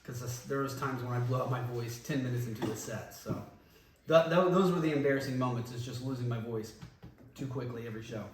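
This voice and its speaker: A man, a former band singer, reminiscing casually in an interview, relaxed and rambling with many ums and restarts. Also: medium pitch, a little fast. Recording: echoey room, voice from a distance, faint noise.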